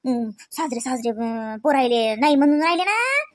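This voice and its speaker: Woman playing a high-pitched gossipy Varhadi village auntie. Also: sing-song, normal speed. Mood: complaining. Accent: Varhadi Marathi from Vidarbha. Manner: gossipy, exclamatory, colloquial. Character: chatty rural Marathi village aunties gossiping, animated cartoon. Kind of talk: comedy cartoon village dialogue.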